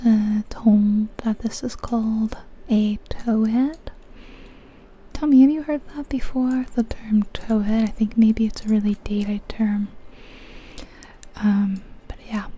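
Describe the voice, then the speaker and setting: A woman whispering softly and breathily in a gentle, unhurried ASMR style, chatting casually as she thinks aloud over a game. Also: fairly high pitch, a little hiss or hum. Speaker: ASMR video creator, host.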